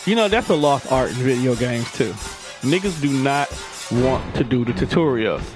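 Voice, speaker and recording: Mid-pitched man bantering over loud music. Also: lively intonation, normal speed. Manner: slangy, banter with co-hosts. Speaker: radio show co-host. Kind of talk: casual chat. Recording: music playing clearly behind the voice.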